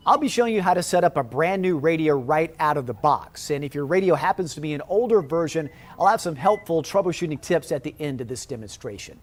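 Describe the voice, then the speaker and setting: A man presenting a scripted how-to demonstration, going through each step in a clear, orderly way. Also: on the high side, animated, quick pace, hardly any pauses. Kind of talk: scripted instructional narration.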